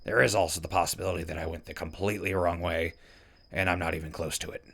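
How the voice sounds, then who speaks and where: A man's voice: sits low, level tone, fast talker, gravelly, fairly bright tone, heavily acted. A man playing a wry wasteland radio host and wanderer in an audio drama, talking conversationally. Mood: weary, reflective. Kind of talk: audio drama log and mock sponsor reads.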